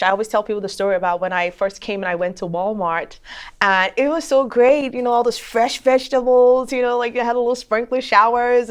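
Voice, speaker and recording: Nigerian woman telling her story conversationally. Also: medium pitch. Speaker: attorney and judicial candidate. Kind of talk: personal storytelling in an interview.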